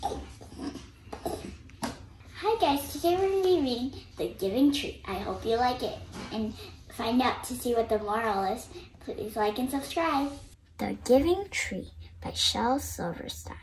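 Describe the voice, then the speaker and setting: A young girl of about nine reads a picture book aloud in a high voice. Her delivery is careful and unhurried, with lively swings in pitch. There is faint noise and clicking behind her. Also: rough recording. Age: child, 9 years old. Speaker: book reader and show host.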